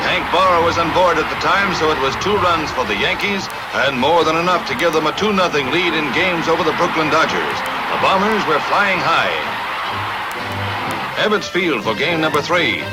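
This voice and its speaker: American male, high voice, 1950s sports newsreel narration, punchy and dramatic, folksy showmanship, loud music behind, vintage soundtrack. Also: poor audio. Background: loud background music.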